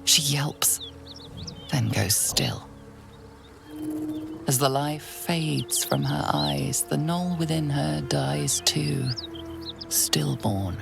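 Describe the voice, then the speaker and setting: A woman playing a grave, ominous storyteller who narrates a dark fantasy game in a hushed, deliberate way. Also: deep voice, vocal fry, over loud music, full character voice. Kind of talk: scripted second-person game narration.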